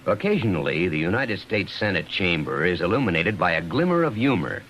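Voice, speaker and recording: A man's voice: sits low, very animated, a little fast, fairly bright tone, poor audio. A man working as a radio announcer, delivering scripted narration of light anecdotes. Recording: hissy old radio recording.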